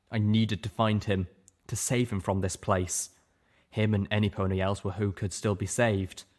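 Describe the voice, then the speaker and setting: A man narrating a dramatic first-person story as an audiobook-style reader. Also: lowish voice. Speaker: narrator of a fan fiction reading.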